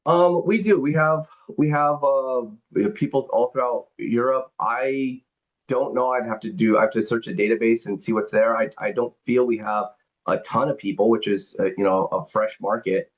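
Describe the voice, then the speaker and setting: A man's voice: mid-range voice, normal speed. A man hosting a business call, explaining membership benefits and taking questions, rambling with lots of fillers and repeated words. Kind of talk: business presentation and Q&A on a group call. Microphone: conference call. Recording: dull lo-fi call audio.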